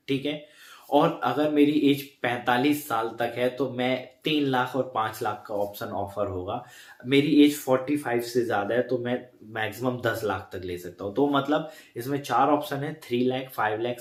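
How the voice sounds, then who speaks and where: A man's voice: medium pitch, roomy sound. A man casually explaining an insurance plan to his viewers, repeating points and correcting himself as he goes. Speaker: insurance advisor vlogger.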